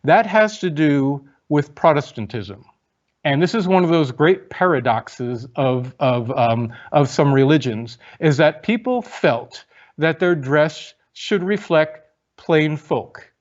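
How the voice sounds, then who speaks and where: A man's voice: a little high, lively intonation, takes it slowly. Chatty male lecturer on a lo-fi stream. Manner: chatty, reads viewer questions aloud, frequent ums. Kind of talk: online lecture and audience Q&A.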